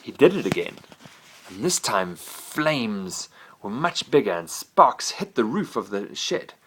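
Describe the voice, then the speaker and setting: Father narrating a bedtime story, low, very expressive. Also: unhurried, husky. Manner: gentle, animated reading.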